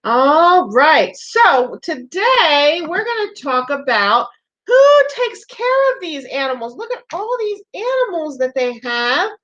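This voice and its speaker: A woman's voice: high-pitched, very animated, takes it slowly, fairly bright tone, lo-fi sound. A woman teacher giving a read-aloud lesson to young children, speaking simply and encouragingly, with questions to the listeners.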